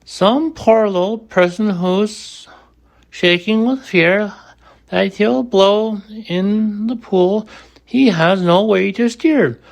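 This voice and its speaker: A man voicing a gentle, protective male elephant, speaking politely, sincerely and expressively in a storybook reading. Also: sits high, takes it slowly, creaky, pitched above the performer's own voice, full character voice. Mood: kindly, curious.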